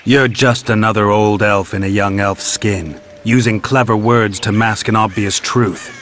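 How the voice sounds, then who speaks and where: An actor playing a cynical, world-weary warrior who hunts monsters in a video game, speaking in a dry, blunt, restrained way. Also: male voice, on the low side, steady tone, unhurried, a bit bright, slight background noise. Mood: scornful.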